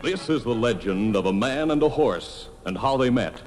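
A man's voice: mid-range voice, expressive, moderate pace, loud music behind the voice. A man narrating an old radio western in a declamatory, dramatic announcer's storytelling style.

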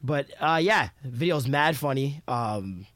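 Male co-host for a casual show: average pitch, joking, slang-heavy delivery, very little room sound. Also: animated, slow pace, a bit bright, no room sound. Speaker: podcast co-host. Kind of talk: casual chat with slang and swearing.

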